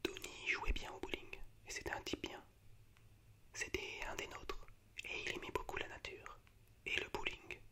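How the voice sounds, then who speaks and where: A man speaking in a breathy, unvoiced whisper as an ASMR host, unhurried and a little hesitant. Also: sharp tone. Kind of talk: whispered ASMR, reciting film monologues.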